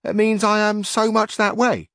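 A man's voice: high-pitched, little pitch movement, normal speed, fairly bright tone, dry recording, clean studio sound, pitched above the performer's own voice. A man voicing a simple, stubborn peasant squire who talks in an earnest, homespun, insistent way.

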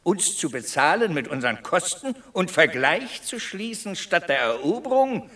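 An actor playing a dignified, disapproving senator in a classical radio drama, formal and stern, away from the microphone. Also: male voice, high voice, sing-song, normal speed, a bit bright, recorded from a distance.

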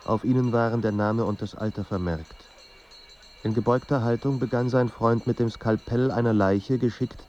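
Low, dark-voiced brooding narrator played by a man. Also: highs cut off, close to an everyday voice. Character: literary narrator of a troubled young writer's life, grave and brooding. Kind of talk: literary radio drama narration.

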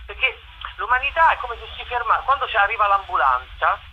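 A man's voice: high-pitched, expressive, brisk, dull audio, slight background noise. A man explaining his work in a radio interview, often repeating the openings of his phrases. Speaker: actor and playwright being interviewed. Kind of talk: conversational interview, explaining own show.

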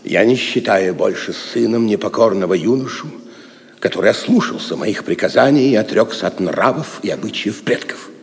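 A man's voice: on the low side, animated, moderate pace, creaky. An actor playing a proud, stern Saxon nobleman and father in a Russian audio play, speaking solemnly and firmly. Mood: stern, resentful. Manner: solemn, firm. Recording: long hall reverb.

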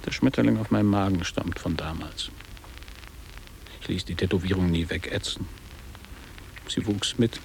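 An actor playing a guarded, wistful middle-aged man looking back on boarding school in a measured, literary radio play delivery. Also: low-pitched, creaky, slight background noise, rough recording. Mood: reminiscing, measured. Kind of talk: German radio play acting.

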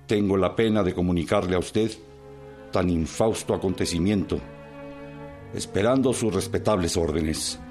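Man acting a formal town official, lowish voice, grave, ceremonious letter reading, radio drama, soft music behind. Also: moderate pace, close to an everyday voice. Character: male municipal official formally reporting a death by letter.

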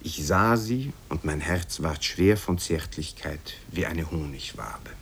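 A man's voice: extremely low pitch, lively intonation, slow, vocal fry, fairly bright tone, a little hiss or hum, exaggerated voice. A man voicing a wistful old boyar uncle who tells rambling, sententious tales in a radio storytelling style. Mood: wistful, tender.

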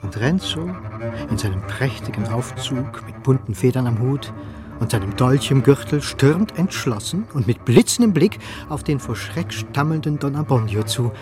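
A man's voice: medium pitch, lively intonation, moderate pace, over music. A man narrating a literary radio play in a scripted, storytelling style.

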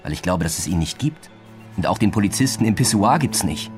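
Commanding, doubting detective, fairly deep, probing, natural radio-drama delivery. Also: male voice, lively intonation, brisk, music in the background. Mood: accusing, stern. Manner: probing, blunt, authoritative. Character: sceptical, commanding male police detective.

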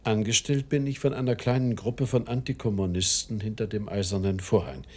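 An actor playing a secretive, earnest and self-important American broadcaster in a German radio play, husky and confiding, with halting, deliberate phrasing. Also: male voice, lowish voice, steady tone, darkish tone.

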